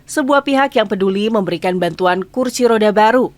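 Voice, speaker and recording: A woman's voice: mid-range voice, brisk, dry recording. A woman with an Indonesian accent presenting scripted news as an anchor.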